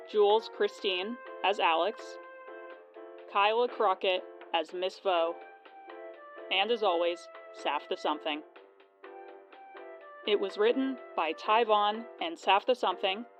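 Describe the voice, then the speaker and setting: A woman podcast host reading scripted promotional narration and credits. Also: mid-range voice. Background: music.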